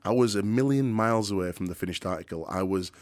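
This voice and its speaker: Guest: middle-aged Yorkshire bloke, low-pitched, energetic and earnest, studio mic. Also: male voice, fast talker. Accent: Yorkshire, softened. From Yorkshire, England. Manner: energetic, earnest, some restarts. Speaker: DJ and presenter, interview guest. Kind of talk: interview answers, storytelling. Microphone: studio microphone.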